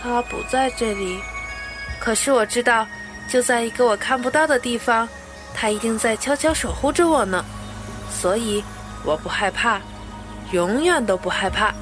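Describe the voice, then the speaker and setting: A woman playing a brave, gentle, innocent little boy prince in a Chinese audio drama. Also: on the high side, normal speed, music in the background, close to an everyday voice. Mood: earnest, innocent.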